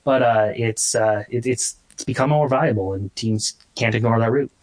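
A man's voice: medium pitch, moderate pace. A man giving casual sports analysis as an interview guest, often correcting himself as he goes. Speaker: hockey analyst, guest writer.

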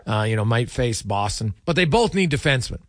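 A man's voice: medium pitch, big pitch swings, fairly quick, fairly bright tone, dry recording, clean studio sound. A man hosting a sports radio show, chatting casually about hockey and putting meandering questions to his guest.

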